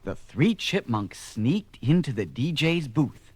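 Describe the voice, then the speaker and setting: A man's voice: mid-range voice, very animated, slow, breathy edge, no echo. A man narrating a scripted children's story.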